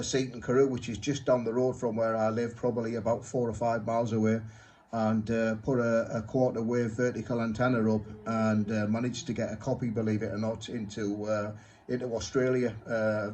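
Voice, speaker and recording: A man chatting as an amateur radio operator, speaking in short, halting pieces. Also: mid-range voice, little pitch movement, a little mellow. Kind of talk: unscripted ham radio contact chat.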